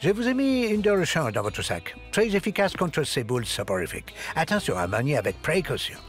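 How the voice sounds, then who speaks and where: An actor playing a fussy, polite spy gadget master in a French cartoon, with a formal, jovial manner. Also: male voice, fairly high pitch, very animated, fairly quick. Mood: brisk, jovial. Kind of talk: French cartoon dub acting. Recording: music behind the voice.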